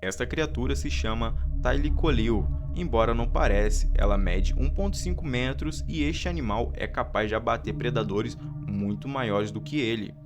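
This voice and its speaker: Brazilian guy doing horror explainer narration, medium pitch, bit of music behind him. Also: normal speed, few pauses. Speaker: video narrator. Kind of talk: scripted horror explainer narration with casual asides.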